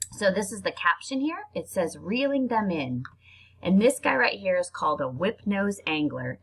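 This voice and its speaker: A woman reads nonfiction aloud in an expressive, informal way, like reading a book to children. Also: fairly low pitch. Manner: expressive, informal reactions between passages. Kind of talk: read-aloud narration with casual spoken asides.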